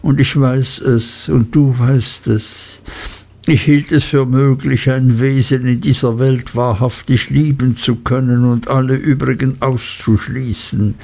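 A man's voice: mid-range voice, fairly even intonation, a little slow, creaky, darkish tone. A man voicing a despairing, guilt-ridden older male lawyer who talks in an anguished, self-reproaching way.

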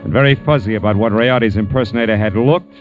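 An actor with an American accent playing a wry, world-weary private detective in an old radio noir drama, speaking in a terse, sardonic way. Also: male voice, fairly low pitch, quick pace, soft background music, natural voice. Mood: wry narration. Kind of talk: 1940s-50s radio noir narration and acting. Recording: dull vintage radio broadcast recording.

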